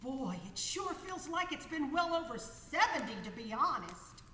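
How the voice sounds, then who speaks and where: A woman's voice: sits high, very animated, normal speed, bright, forceful tone, heavily acted. An actress playing a brash, good-humored 1930s woman aviator with breezy, slangy talk in a radio play. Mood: matter-of-fact. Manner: breezy slangy talk, calls people kiddo. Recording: echoey hall, faint background noise.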